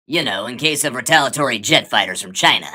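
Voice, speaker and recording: A woman as a very low-voiced elf professor. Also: expressive, fast. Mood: deadpan. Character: matter-of-fact elf inventor professor. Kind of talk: comic audio drama.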